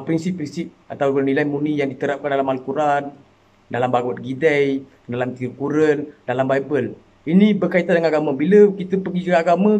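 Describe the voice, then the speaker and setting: A man's voice: on the high side, darkish tone, dull audio. A man from Malaysia making an earnest, impassioned personal appeal, repeating himself and rising into emotional pleas. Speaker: citizen speaking out.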